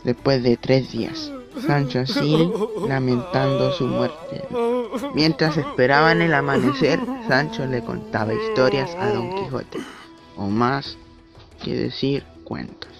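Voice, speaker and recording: A man's voice: mid-range voice, takes it slowly, loud music behind the voice. A man reading a scripted story summary as a narrator. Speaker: narrator of a student book summary. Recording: dull, lo-fi amateur recording.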